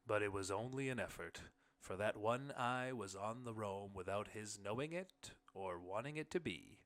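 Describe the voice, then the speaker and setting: A man narrating a literary short story in the first person, with measured phrasing. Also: fairly low pitch, fairly bright tone. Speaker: audiobook narrator.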